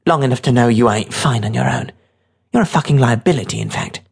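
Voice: mid-range voice, wildly swooping pitch, a little fast, creaky, slightly rough, heavily acted. A narrator voicing a tough, scornful, streetwise teenage girl with a brash, mocking, bossy way of talking. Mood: scornful, threatening.

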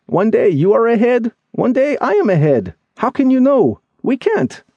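A man voicing an excitable, weary and earnest male hiker friend in dramatic, pleading audiobook dialogue. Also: very high-pitched, normal speed, slightly rough, dry recording, dull audio, pitched above the performer's own voice, exaggerated voice. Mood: doubtful, excited. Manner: exclamatory, pleading, questioning. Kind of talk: acted dialogue in audiobook memoir.

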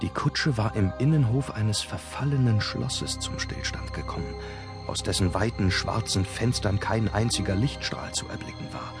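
A man narrating a horror audiobook in the first person, measured and suspenseful. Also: fairly low pitch. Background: prominent music.

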